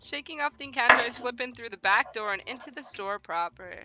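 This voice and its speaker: A woman hosting a livestream, switching between casual slangy chat and reading game text aloud in an animated way. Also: fairly high pitch, brisk, loud music behind the voice. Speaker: host of a visual novel livestream.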